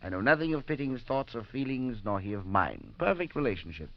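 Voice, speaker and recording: A man's voice: medium pitch, very animated, fairly quick. An actor playing a cold, unsentimental male scientist professor in a 1940s radio drama, speaking sardonically with nervous hesitations. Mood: aloof. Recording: dull 1940s radio broadcast.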